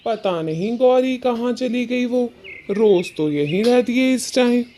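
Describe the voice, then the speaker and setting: A woman's voice: a little high, animated, a little slow, warm tone. A woman voicing a patient, mild male bird husband in a cartoon, reasoning and placating as he talks. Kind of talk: Hindi cartoon story dialogue.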